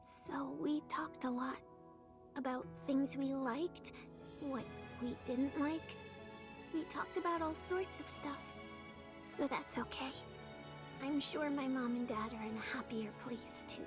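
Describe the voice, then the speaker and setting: Sweet, high-voiced little girl. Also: slow pace, over music. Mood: gently accepting. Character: sweet, earnest, brave orphaned little girl. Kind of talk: English video game voice acting.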